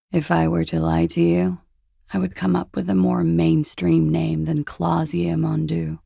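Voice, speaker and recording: Game role: evasive young ex-pageant queen, heavy vocal fry, languid sarcasm, very close dry recording. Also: fairly low pitch, warm tone, dry recording, full character voice. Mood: coolly sardonic. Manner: languid, dry, sardonic understatement. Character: cool, evasive young former beauty queen and party girl. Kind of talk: video game dialogue, low-key and naturalistic.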